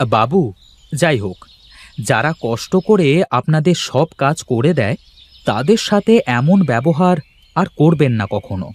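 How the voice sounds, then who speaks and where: A man's voice: medium pitch, animated, unhurried, slightly rough. A man voicing a stubborn, plain-spoken farm labourer who respectfully protests to his employer in a cartoon folk tale. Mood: reproachful.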